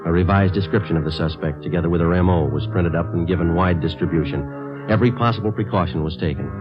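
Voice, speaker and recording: An actor playing a terse, businesslike American police detective narrating his case deadpan in a 1950s radio drama. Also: low voice, quick pace, natural voice. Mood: clipped, factual. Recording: muffled old radio recording with music.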